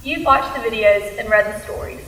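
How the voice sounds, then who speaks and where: A woman's voice: fairly high pitch, normal speed, a bit bright, lightly acted. A woman performing a concerned student in a rehearsed readers theater recitation, earnest in delivery. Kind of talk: rehearsed dramatic group recitation. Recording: echoey hall, distant mic.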